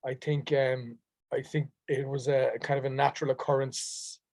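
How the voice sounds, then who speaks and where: A man with a Dublin accent talking about his acting in a relaxed, chatty way. His voice sits in a middle range, and the sound is dry with no room around it. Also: mid-range voice, moderate pace, no echo. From Dublin, Ireland. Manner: easygoing, chatty, frequent ums. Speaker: actor guest. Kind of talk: conversational interview answers.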